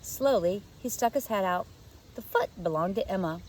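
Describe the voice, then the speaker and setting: Woman reading a kids book out loud, expressive storytelling, medium pitch, slight noise behind. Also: normal speed. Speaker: teacher reading aloud. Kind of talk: expressive children's storybook narration.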